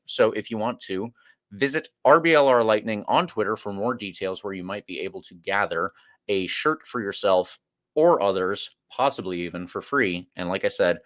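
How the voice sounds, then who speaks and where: Man, podcast host, lowish voice, dry close sound, relaxed chatty delivery. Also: no room sound. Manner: casual, promotes charity shirt before interview. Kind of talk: podcast interview.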